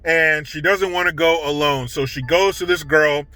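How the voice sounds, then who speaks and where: A man's voice: a little high, normal speed, pushed and bright, no room sound. A man hosting a vlog, giving casual, opinionated political commentary in a blunt, indignant, profane manner.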